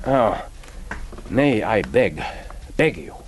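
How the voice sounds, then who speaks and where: An actor in a radio play voicing a proud, formal spymaster, middle-aged, with a husky voice and measured, ceremonious speech. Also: male voice, on the low side, very animated, slight background noise, full character voice. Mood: formal, confiding. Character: proud, courteous Japanese spymaster, formal and sly. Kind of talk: radio drama acting.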